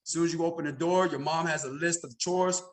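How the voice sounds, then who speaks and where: A man with an American accent speaks in a somewhat high register. He tells personal stories in a casual, conversational interview style, peppering them with little tags that check the listener is following. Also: fairly even intonation, brisk. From Chicago, United States. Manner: conversational, frequent "you know" and "right?" tags. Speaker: podcast guest, insurance agency senior executive.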